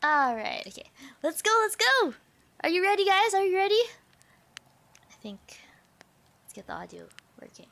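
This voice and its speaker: A woman streamer with a very high voice, chatting casually with her viewers in a bubbly, talkative way and laughing often. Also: sing-song, normal speed, creaky, long pauses, sharp tone. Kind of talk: casual livestream chat.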